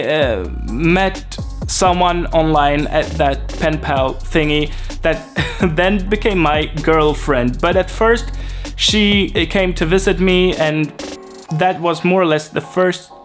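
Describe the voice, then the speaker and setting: Slow-talking man. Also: fairly high pitch. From Sweden. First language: Swedish. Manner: unhurried, rambling, many fillers and restarts. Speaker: YouTuber. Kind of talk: casual vlog talk to camera.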